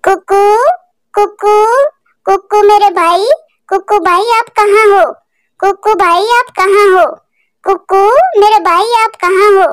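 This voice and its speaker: A woman voicing an emotional, gentle female bird in a cartoon story, with a plaintive, expressive delivery. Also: very high voice, slow, dry recording, clean studio sound. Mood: anxious calling. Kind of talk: Hindi cartoon story dialogue.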